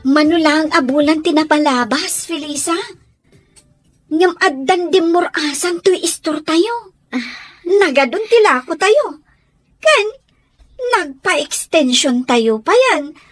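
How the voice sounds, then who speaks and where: A woman's voice: sits high, expressive, slow pace, pauses often, no room sound, soft background music. A woman playing an eager, chatty young best friend in an Ilocano radio drama, with an Ilocano accent. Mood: teasing.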